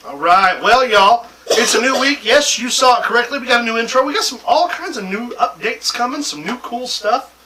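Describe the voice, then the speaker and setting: A man's voice: very high-pitched, lively intonation, normal speed, recorded from a distance, background noise. A man hosting a wrestling talk show with boisterous, jokey, profane banter and casual predictions.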